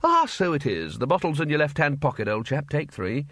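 A man's voice: a little high, big pitch swings, a little fast, a bit bright, no room sound, clean studio sound, full character voice. A man voicing a large, bluff head wizard who talks in a jovial, blustering way. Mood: hearty.

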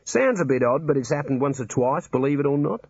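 A male narrator doing chatty aussie jailer, husky, upbeat, muffled audio. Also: mid-range voice, lively intonation, fairly quick, darkish tone, no echo, voice pushed up. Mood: jovial. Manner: breezy, matter-of-fact about grim things. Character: cheerful older male prison warder, jovial, chatty.

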